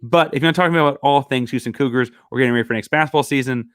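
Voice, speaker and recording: A man's voice: mid-range voice, very fast, fairly bright tone, clean tone, no echo, studio quality. A man hosting a solo sports podcast, talking casually and rambling with fillers, his voice a bit worn. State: losing his voice. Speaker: podcast host.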